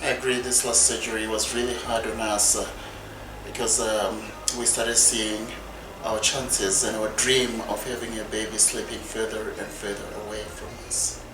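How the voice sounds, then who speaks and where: A man from Zimbabwe and South Africa talks slowly through hard memories in a measured way, with pauses and fillers. His voice is on the low side. It sounds distant and roomy over background noise. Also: flat delivery. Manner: measured, with frequent hesitations. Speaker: husband sharing their infertility story. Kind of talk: personal storytelling.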